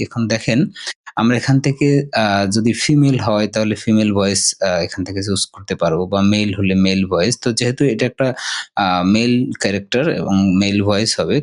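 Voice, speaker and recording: Low-pitched man giving a tutorial. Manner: explanatory, often checks understanding with short tag questions. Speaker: software tutorial host. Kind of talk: casual screen-share tutorial.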